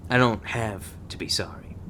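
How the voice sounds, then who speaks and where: A man playing a gruff, sarcastic, guarded monster hunter mentor in an ASMR audio roleplay. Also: lowish voice, moderate pace, a bit bright, slight background noise, natural voice. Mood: softening, earnest. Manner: foul-mouthed, sardonic, scornful.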